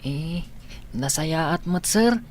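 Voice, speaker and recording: A man's voice: fairly high pitch, animated, drawn-out words. An actor with a Filipino Ilocano accent playing an earnest, respectful younger man who questions his elder with worry, in radio drama style. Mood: shy, deferential.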